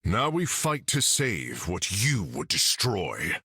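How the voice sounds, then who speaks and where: An actor playing a stern, noble warrior god in a video game, with formal, archaic, solemn speech. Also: male voice, sits low, wildly swooping pitch, takes it slowly, sharp tone, slightly rough, no echo, studio quality, exaggerated voice.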